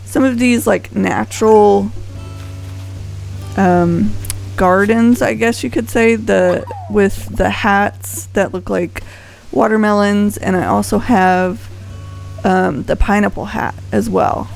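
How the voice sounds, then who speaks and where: A woman's voice: mid-range voice, slow, creaky, darkish tone, soft background music, low-quality recording. A woman giving casual, unscripted gameplay commentary as a video host, rambling conversationally and thinking aloud. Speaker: video host showing a game island.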